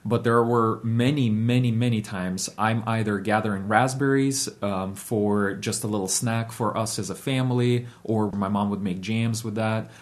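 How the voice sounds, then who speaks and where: Low-voiced man reflecting in an interview. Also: moderate pace, hardly any pauses. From Ukraine. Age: 30s. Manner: reflective, steady, with frequent ums and uhs. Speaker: guest. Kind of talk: interview storytelling.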